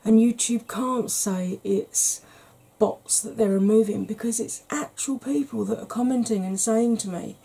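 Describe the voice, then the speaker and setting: A middle-aged woman chatting softly in a rambling, gentle way for an ASMR makeup vlog, seated in a small room at home. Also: fairly high pitch, unhurried, vocal fry, few pauses, slightly rough. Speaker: beauty YouTuber. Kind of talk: soft-spoken ASMR makeup chat.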